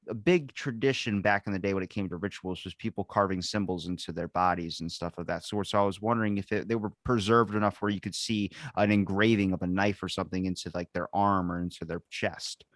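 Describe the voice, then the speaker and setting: A man's voice: sits low, a bit bright, no echo. A man hosting a podcast, chatting casually and asking curious follow-up questions. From United States. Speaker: podcast host.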